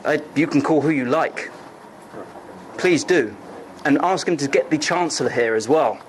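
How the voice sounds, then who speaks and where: A man rants at a lecturer, hurling accusations in an angry, slightly high-pitched voice. The amateur recording carries clear background noise. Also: normal speed, poor audio. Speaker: student complaining about his grade. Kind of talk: heated confrontation in a lecture.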